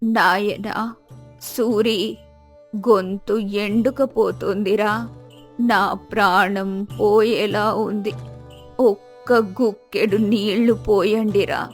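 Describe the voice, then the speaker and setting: Woman as frail elderly villager, slow, weak, begging, storybook character, faint music. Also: mid-range voice, steady tone. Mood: desperate, fading. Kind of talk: moral-story character dialogue.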